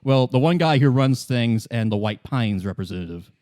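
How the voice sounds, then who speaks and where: A man's voice: mid-range voice, expressive, moderate pace, a little mellow, no room sound, clean studio sound. A man casually narrating a role-playing game as game master, explaining things in a conversational way.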